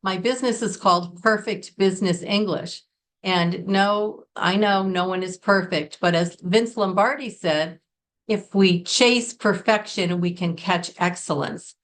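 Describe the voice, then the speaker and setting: An American woman from Connecticut, middle-aged, talks through a business English lesson in a sincere, carefully paced way. Her voice sits at an ordinary middle pitch. She puts weight on important words as she explains. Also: a little slow. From Connecticut, United States. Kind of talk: scripted lesson to camera.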